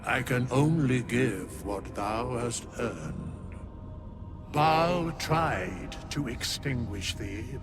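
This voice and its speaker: An actor playing an ancient, solemn undead scribe in a fantasy game, speaking archaic words in grave, formal pronouncements. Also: male voice, mid-range voice, vocal fry, full character voice.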